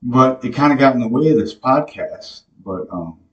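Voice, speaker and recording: A young man hosting a podcast, talking to the camera in a slow, rambling devotional monologue full of fillers and restarts, recorded in a small bare room. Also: mid-range voice, lively intonation, a bit bright, highs cut off. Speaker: podcast host. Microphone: camera mic from a few feet away. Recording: echoey room, voice a bit distant from the mic.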